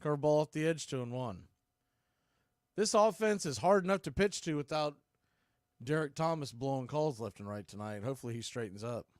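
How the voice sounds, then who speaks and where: A man doing live radio-style baseball play-by-play as the announcer, making clipped pitch calls in short phrases. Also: lively intonation, fairly quick, darkish tone, dry recording, studio quality.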